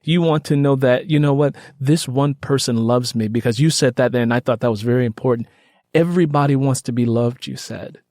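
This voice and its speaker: A man hosting a conversational interview, talking in a halting, rambling way. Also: medium pitch, a little mellow, dry recording, studio quality. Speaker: podcast host.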